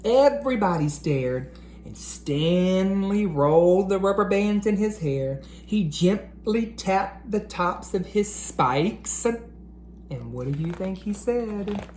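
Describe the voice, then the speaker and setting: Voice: medium pitch, animated, slow, darkish tone, slight background noise. A read-aloud host narrating storybooks and talking to children in an encouraging, teacherly way.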